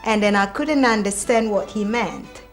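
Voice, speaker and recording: A young woman who works as a casino dealer, telling a lively, amused anecdote. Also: medium pitch, moderate pace, faint music behind. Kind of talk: casual anecdote. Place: studio, plain backdrop.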